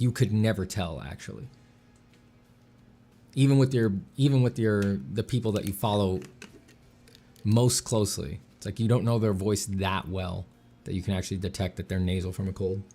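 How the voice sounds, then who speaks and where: A man streaming while he draws, chatting casually with dry deadpan jokes and a slight slur from a sore mouth. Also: on the low side, fairly quick. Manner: dry deadpan joking, relaxed and rambling. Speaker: artist streaming a drawing session. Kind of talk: casual livestream chat.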